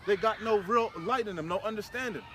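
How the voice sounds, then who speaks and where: A high-voiced man delivers fiery, informal preaching on scripture. He is emphatic and slangy, often repeating himself for force, with traffic noise around him outdoors. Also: fairly quick, fairly bright tone. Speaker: street preacher leading a scripture discussion.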